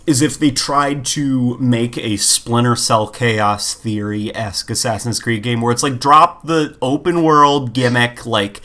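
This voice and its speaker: A male podcast co-host with a medium-pitched voice chats casually about games. His way of talking is informal, and he puts his opinions emphatically. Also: steady tone, measured pace, few pauses, pushed and bright. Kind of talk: casual gaming chat.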